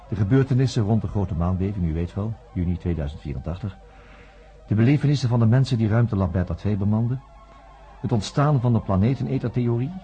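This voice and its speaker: A wry investigator looks back on his case in a very dark, mellow, lowish voice, as an actor plays him. His narration moves with lively melodic turns. The sound is dull and lo-fi, with faint music underneath. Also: close to an everyday voice. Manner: wry, reflective, measured. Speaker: narrator of radio play. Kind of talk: scripted radio play narration.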